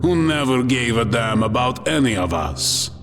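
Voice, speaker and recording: An actor playing a cruel, mocking space marine who taunts with a sneer in a dramatic audio story. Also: male voice, mid-range voice, big pitch swings, normal speed, a bit bright. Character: cruel, mocking, sadistic male Night Lord space marine. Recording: long cavernous reverb, music underneath.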